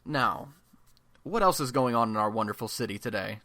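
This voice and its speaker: An actor as bright-voiced, wisecracking American radio blogcaster. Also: male voice, lowish voice, animated, moderate pace, no room sound. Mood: chatty. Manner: informal, wisecracking, conversational. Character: chatty, self-deprecating young male amateur superhero-city radio blogcaster. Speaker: radio show host. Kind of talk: casual podcast-style monologue in a radio play.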